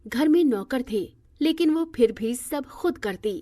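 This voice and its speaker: Woman narrator reading a scripted bedtime tale, voice a bit high, no echo at all. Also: expressive, unhurried, dark tone, studio quality. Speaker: story narrator.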